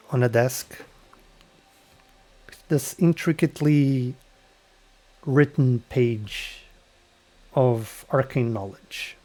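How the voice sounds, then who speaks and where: A man running a tabletop game, setting a dark scene in slow, unhurried narration. He talks in a halting way, pausing between phrases. His voice sits at a middling pitch. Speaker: dungeon master.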